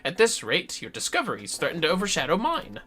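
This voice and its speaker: A man's voice: a little high, wildly swooping pitch, fairly quick, pushed and bright, breathy edge, voice pushed up, exaggerated voice. A man voicing an earnest, self-important scholar from a fantasy game, formal and theatrical, with music behind. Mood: ambitious, rueful. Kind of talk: reading game dialogue aloud in character.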